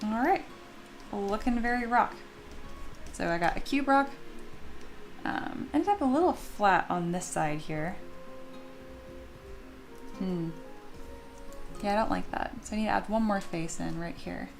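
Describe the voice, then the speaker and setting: Woman, mid-pitched, slightly scratchy sleepy voice, informal livestream tutorial, thinking aloud, clear background music. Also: expressive, unhurried, long pauses. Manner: informal, chatty, talks to herself and viewers. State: sleepy, voice not yet warmed up. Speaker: 3D sculpting teacher and livestream host.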